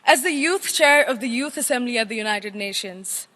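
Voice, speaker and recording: A young woman from Nepal, a youth chair giving a formal welcome speech in a large assembly hall. She speaks with earnest, deliberate oratory and builds long lists of phrases. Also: a little high, expressive, sharp tone. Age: young adult.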